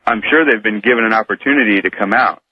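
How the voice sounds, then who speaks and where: A man's voice: on the low side, quick pace. A man calling in to a radio talk show, casually reasoning aloud with some hesitation. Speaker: radio call-in guest. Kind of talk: casual phone-in discussion. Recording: tinny phone line on radio.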